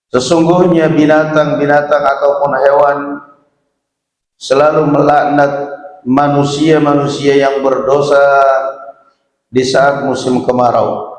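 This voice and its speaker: An Indonesian man delivers a religious lecture as a preacher. He speaks slowly in short, deliberate phrases with little rise or fall in pitch. His voice carries a long hall reverb. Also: darkish tone. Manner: measured, deliberate, phrase by phrase with pauses. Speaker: Islamic preacher and teacher. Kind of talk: religious lecture, reading Arabic text aloud then explaining it.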